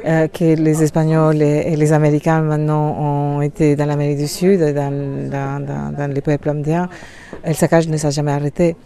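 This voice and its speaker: A middle-aged woman from Colombia, speaking as an interview guest, hesitant with many pauses and fillers. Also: sits low, mellow. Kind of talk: interview conversation.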